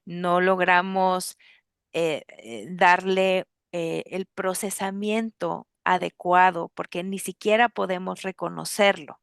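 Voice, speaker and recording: A woman hosting an interview, earnest and pausing often with fillers. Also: fairly low pitch, steady tone, vocal fry, smooth, no room sound, clean studio sound. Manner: earnest, with many filler pauses. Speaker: host.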